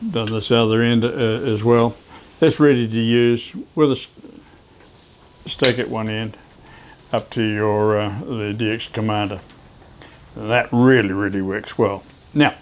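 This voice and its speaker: A man giving a casual hands-on how-to as a hobbyist, talking haltingly as he works with his hands. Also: on the low side, a little hiss or hum. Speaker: ham radio hobbyist presenting DIY tips.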